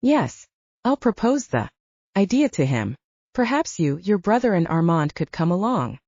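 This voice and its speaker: A woman reading the part of a calm, measured middle-aged man, a father and leader, in an audiobook, gently serious in manner. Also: on the low side, no room sound. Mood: thoughtful. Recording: slightly dull lo-fi audio.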